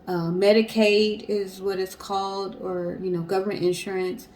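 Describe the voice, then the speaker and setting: Female co-host, average pitch, casual explanatory storyteller, faintly noisy recording. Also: level tone, normal speed, darkish tone. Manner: conversational, explanatory.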